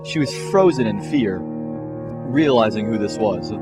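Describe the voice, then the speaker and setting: A man's voice: lowish voice, lively intonation, a little slow. A man narrating a comic fan-fiction story in a theatrical, mock-serious way. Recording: music behind the voice.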